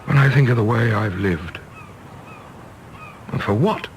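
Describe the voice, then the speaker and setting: An actor playing a disillusioned middle-aged English diplomat, bitter and self-mocking, in an impassioned radio-drama style. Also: male voice, medium pitch, expressive, measured pace, vocal fry, slight background noise. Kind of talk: stage-play radio drama acting.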